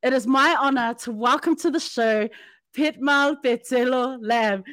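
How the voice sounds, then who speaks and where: A woman's voice: high-pitched, measured pace, a bit bright. A woman hosting a podcast interview, upbeat and chatty, laughing often and using casual slang. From Samoan community in New Zealand. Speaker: podcast host. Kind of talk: warm interview chat.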